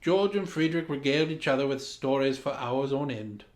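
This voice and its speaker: A man's voice: mid-range voice, moderate pace. A man reading a children's story in a pompous, grandly formal and theatrical way, with dramatic emphasis. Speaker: storyteller, host of a story time. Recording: roomy, echoing room sound.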